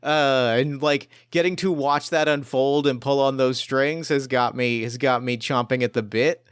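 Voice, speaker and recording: A man's voice: medium pitch, fairly even intonation, unhurried, clear, resonant tone, no room sound, clean studio sound. A man hosting as game master, chatting casually and narrating a game scene with enthusiasm. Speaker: game master and podcast host.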